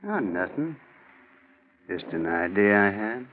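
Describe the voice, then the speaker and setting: Low-voiced, cagey crook. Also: male voice, very animated, slow pace, narrow, tinny audio. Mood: scheming. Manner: terse, cagey. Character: scheming, guarded male crook, cagey and calculating. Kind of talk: 1940s radio acting. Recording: thin, noisy 1940s radio recording.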